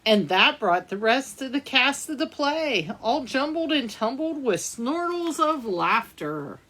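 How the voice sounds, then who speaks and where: A woman's voice: sits high, sing-song, unhurried, fairly bright tone, rough recording. A woman reading a picture book aloud for children's storytime, speaking to young listeners as she goes. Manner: addresses young listeners with questions and asides. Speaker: librarian storytime reader.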